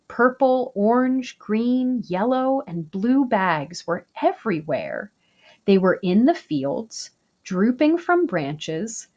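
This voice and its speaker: Slow, lively woman reading a picture book. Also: medium pitch, dull audio. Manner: slow, gentle, expressive storytelling. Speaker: storybook reader. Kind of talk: children's picture book read-aloud.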